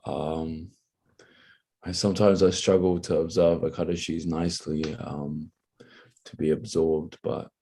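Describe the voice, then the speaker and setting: A man speaks low and fairly evenly, with a gravelly, husky hoarseness. He is chatting casually and devotionally, in a humble, hesitant manner with trailing phrases. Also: dark tone. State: slightly hoarse, dry throat. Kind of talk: casual, grateful devotional chat.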